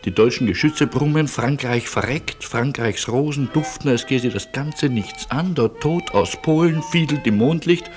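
A man's voice: mid-range voice, moderate pace, hardly any pauses, a little mellow, soft background music, natural voice. An actor playing an anxious, wordy, sardonic businessman on the run in a German radio play, speaking with ironic rhetorical flourishes. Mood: sardonic, lamenting. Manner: exasperated irony, rhetorical flourishes.

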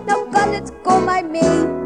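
An actor playing a lively, naive small child in a half-sung children's audio play on an old cassette. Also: very high voice, takes it slowly. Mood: careful, singsong. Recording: old cassette with music behind. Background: children's music with guitar.

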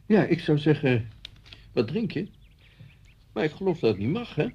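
An actor playing a hesitant older man in a Dutch radio play, with a husky, rough voice and halting delivery. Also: a little high, very animated, warm tone, full character voice. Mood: hospitable, probing. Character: hesitant older man, former acquaintance hosting a policeman. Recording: dull lo-fi radio play recording.

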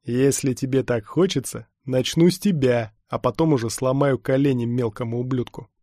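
A man's voice: medium pitch, normal speed, dark tone, no echo, clean studio sound, natural voice. Man voicing a menacing huge cyborg trooper, growling. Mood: sneering. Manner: growling, sneering, threatening. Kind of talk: audiobook character acting.

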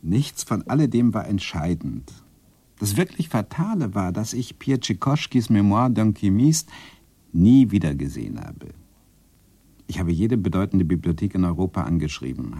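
Low-voiced, wistful bookish male narrator. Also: big pitch swings, normal speed, warm tone, husky, no echo, heavily acted. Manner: reflective, literary. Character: wistful bookish man recounting his time in Texas. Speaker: actor playing the first-person narrator. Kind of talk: radio play narration.

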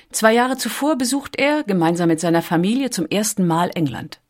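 A female narrator with a slightly low voice delivers a scripted documentary.

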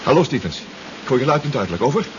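An actor playing a distraught, exhausted submarine pilot in a 1970s radio drama, stammering and repeating himself. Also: male voice, medium pitch, big pitch swings, racing, close to an everyday voice. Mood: calling out. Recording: dull radio play recording, loud engine noise.

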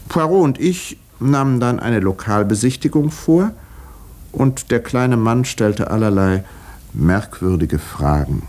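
An actor playing an observant doctor who narrates a murder case in a measured, dryly ironic way, in the style of 1950s German radio drama. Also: male voice, medium pitch, expressive, darkish tone, natural voice. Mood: measured, reflective. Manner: measured, literary, dryly wry storytelling.

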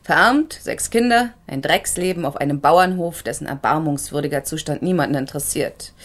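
An actress playing a sharp-witted, outspoken professional woman in a radio crime drama, articulate and pointed. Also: low voice, fairly quick. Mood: indignant. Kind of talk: German radio drama acting.

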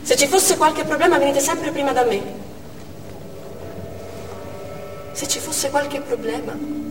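High, echoey actress as an anxious woman medic. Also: moderate pace, over music, natural voice. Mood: uneasy, hushed. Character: anxious woman ambulance medic recounting an emergency. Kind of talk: theatrical monologue, first-person narration on stage. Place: theatre stage, distant microphone. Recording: echoey theatre hall from far off, noisy.

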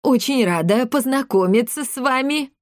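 A woman's voice: high-pitched, expressive, moderate pace, no echo, studio quality, pitched above the performer's own voice. A woman voicing a hefty orphanage matron in a storybook, easily offended, indignant and combative. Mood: flustered politeness.

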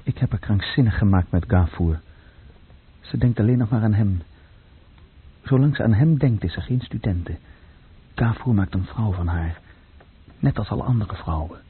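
A man's voice: lowish voice, animated, normal speed, pauses often, breathy edge, exaggerated voice. An actor playing a searching, brooding runaway son who tells his own story in a radio play, plainly and introspectively. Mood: brooding, reflective. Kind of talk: radio play narration and dialogue. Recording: muffled, phone-like old radio recording.

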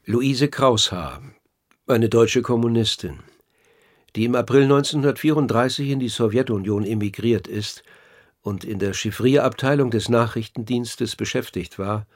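A man's voice: mid-range voice, normal speed. Formal, probing male radio narrator. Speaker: narrator and interviewer. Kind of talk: scripted radio documentary narration.

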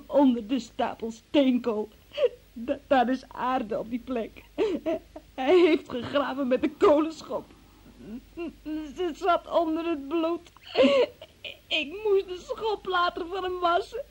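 An actress playing a frightened, distraught young woman under police questioning in a radio drama, tearful, pleading and halting. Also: high-pitched. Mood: sobbing, terrified. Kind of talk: Dutch radio drama acting.

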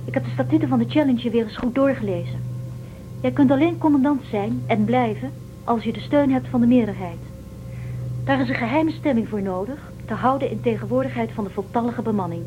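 A woman's voice: fairly high pitch, brisk, lightly acted. A woman playing a shrewd crew member in a radio play, laying things out precisely. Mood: determined. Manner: precise, matter-of-fact, calculating. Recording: tinny noisy old radio sound.

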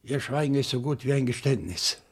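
An actor playing an agitated, accusing professor who questions deliberately and dramatically in a German radio crime drama. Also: male voice, mid-range voice, animated, husky. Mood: accusing, fearful.

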